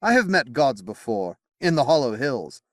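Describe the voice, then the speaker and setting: A man's voice: mid-range voice, sing-song, moderate pace, no room sound, clean studio sound, voice pushed up, close to an everyday voice. A man voicing a resolute young king who speaks firmly.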